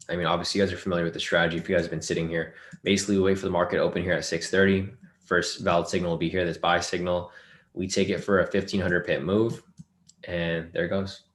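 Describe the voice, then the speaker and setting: A man's voice: deep voice, reverberant. A man hosting a live trading webinar, talking through charts and chat questions loosely with many fillers, his voice worn from a long day of sessions. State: losing his voice. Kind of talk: live screen-share trading lesson.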